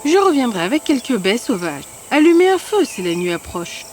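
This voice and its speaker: A woman's voice: on the high side, big pitch swings, normal speed, darkish tone, slight background noise. Cold, bitter storybook mother played by a woman. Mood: brisk, deceitful. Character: cold, hard mother abandoning her children. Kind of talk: fairy tale cartoon voice acting.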